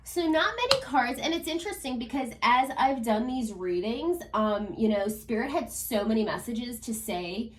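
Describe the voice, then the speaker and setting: A woman with a hoarse, fading voice from a cold, giving a chatty tarot reading at home with lots of fillers. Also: on the high side, expressive, moderate pace, hardly any pauses, recorded from a distance, a little hiss or hum. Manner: chatty, filler-heavy, talks to spirit and viewers. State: hoarse, losing her voice from a cold. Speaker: tarot reader. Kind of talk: casual tarot reading to camera. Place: upstairs room at home.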